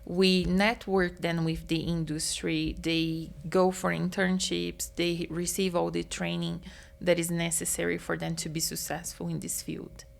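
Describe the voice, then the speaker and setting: Gravelly woman explaining calmly. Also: lowish voice, a little slow. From Brazil. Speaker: meat science professor, guest. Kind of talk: interview answers.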